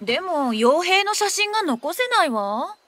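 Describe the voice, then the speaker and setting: A woman voicing a kind, practical young mother in a cartoon, reassuring and gently teasing. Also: very high voice, very animated, a little slow, slight background noise, exaggerated voice. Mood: caring. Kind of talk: Japanese animated cartoon acting.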